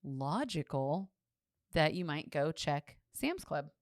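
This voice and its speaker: A woman co-hosting a true-crime podcast, asking lots of questions and joking along in casual chat. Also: low-pitched. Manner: inquisitive, animated, quick to laugh and joke. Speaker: podcast co-host.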